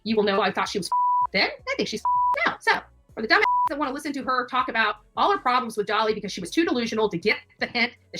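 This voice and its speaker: A woman's voice: high voice, sharp tone, husky. A woman on a livestream from her home, combatively calling someone out with scornful, sassy jabs like "babe" and "honey". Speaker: YouTube live streamer. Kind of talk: ranting livestream callout.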